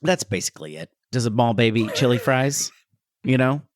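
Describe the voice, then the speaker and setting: A man's voice: medium pitch, expressive, brisk, no room sound, clean studio sound. A man hosting a podcast, chatting casually about pop culture and joking with mock voices. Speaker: podcast host.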